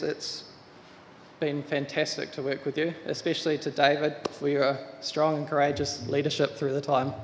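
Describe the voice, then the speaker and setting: Brief: earnest church committee man, slightly high voice, long hall reverb, faint room hum. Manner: earnest, measured, with restarts. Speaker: search committee member. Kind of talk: church address.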